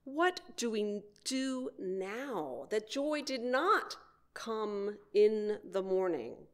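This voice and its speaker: Woman, minister, sermon delivery, very expressive pitch movement, slow deliberate phrasing, frequent pauses. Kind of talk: sermon, prepared message.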